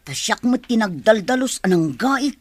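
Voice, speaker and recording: A woman with an Ilocano accent playing a grudging, money-minded, complaining woman in a radio drama, in a husky voice. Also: fairly high pitch, sing-song, moderate pace, no echo. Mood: grumbling.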